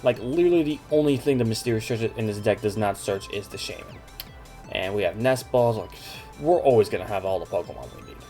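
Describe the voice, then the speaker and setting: A man's voice: lowish voice, fast, faint music behind. A man hosting a card game channel, talking through a deck in an upbeat, chatty way while keeping his voice down. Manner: speaking softly, keeping his voice down. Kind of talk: casual deck walkthrough.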